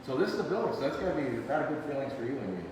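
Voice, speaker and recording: A man's voice: medium pitch, sing-song, rapid-fire. Joking male host in an echoey hall. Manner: jokey, chatty, laughs often. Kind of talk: casual on-site interview. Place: large distillery hall indoors. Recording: echoey hall from far off with noise.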